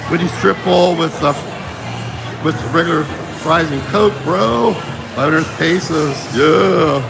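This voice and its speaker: A man's voice: a little high, takes it slowly, gravelly, music in the background, low-quality recording. A man live-streaming from a phone while walking a busy mall, talking rambling and loose, repeating himself and saying "bro" constantly, sick and losing his voice. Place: outdoors and in a busy shopping mall. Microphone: phone held while walking.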